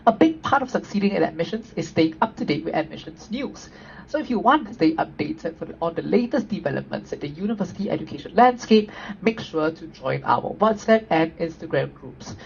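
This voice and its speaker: Gravelly-voiced woman hosting a webinar. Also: fairly low pitch, lively intonation, brisk. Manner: upbeat, frequent tag questions. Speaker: webinar host. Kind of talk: webinar presentation. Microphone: video call. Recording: dull lo-fi audio with faint noise.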